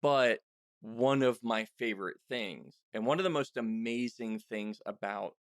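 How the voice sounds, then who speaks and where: A man's voice: mid-range voice, a little slow, no room sound. Man chatting casually, dry close sound. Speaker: podcast host and audio drama writer. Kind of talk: casual interview chat.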